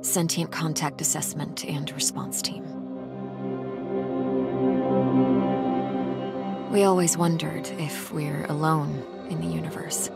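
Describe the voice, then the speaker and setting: A woman's voice: deep voice, flat delivery, moderate pace, vocal fry, over loud music. An actress playing a capable, curious woman who serves as a mission specialist in a scripted sci-fi video game. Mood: solemn, reflective. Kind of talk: scripted video game acting, log narration and dialogue.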